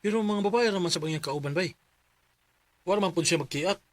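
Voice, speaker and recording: A man's voice: high-pitched, fairly quick. A man acting as a friend in a radio drama, casual and friendly in manner.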